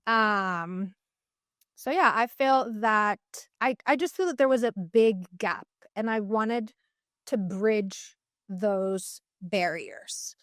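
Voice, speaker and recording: A woman's voice: mid-range voice, takes it slowly, a bit bright, no echo, studio quality. Earnest young woman from Mexico. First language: Spanish. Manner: earnest, many "like" fillers and restarts. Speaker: guest dietitian. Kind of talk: casual interview talk.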